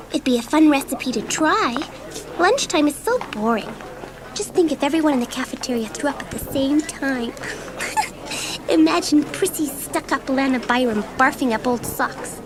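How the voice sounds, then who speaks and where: A woman acting a mischievous, easily offended schoolgirl in a children's audiobook drama, expressive and dramatic. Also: high voice, background noise. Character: bored, mischievous schoolgirl, quick to take offence.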